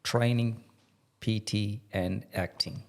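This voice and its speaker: Slow, gravelly-voiced podcast host. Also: male voice, fairly low pitch, steady tone. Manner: slow and measured. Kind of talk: podcast interview.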